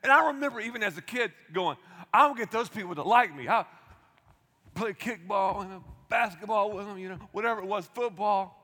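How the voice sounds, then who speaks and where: Man, pastor, loud preaching voice, high-pitched, long church-hall reverb. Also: a little fast. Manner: emphatic preaching with repetitions and asides to the congregation. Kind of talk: sermon. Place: large church auditorium.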